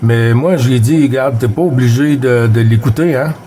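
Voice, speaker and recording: A man's voice: mid-range voice, a little fast, warm tone. A middle-aged man with a Québécois French accent, chatting casually on CB radio with lots of fillers and restarts. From Quebec. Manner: rambling, many fillers and restarts. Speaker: CB radio operator.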